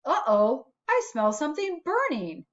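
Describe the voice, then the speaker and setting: Woman voicing a frank, high-pitched storybook elephant. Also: big pitch swings, measured pace, muffled recording. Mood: worried. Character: strong, good-natured elephant, agreeable and frank.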